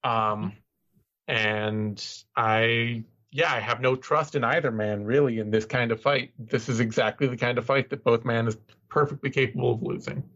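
Male podcast co-host, relaxed and self-deprecating, middle voice, muffled lo-fi recording. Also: medium pitch, moderate pace. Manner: easygoing, rambling, self-deprecating. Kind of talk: casual analytical chat. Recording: dull lo-fi audio.

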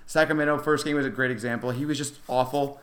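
A man's voice: mid-range voice, steady tone, quick pace, a bit bright. A man co-hosting a sports podcast, talking casually about basketball in a rambling, emphatic, self-correcting way.

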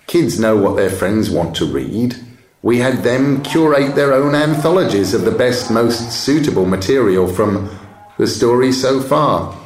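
A man's voice: mid-range voice, expressive, a little slow, slight background noise, natural voice. A man voicing a proud old bookseller who relishes spinning out his stories in an expansive manner. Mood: enthusiastic. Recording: roomy echo.

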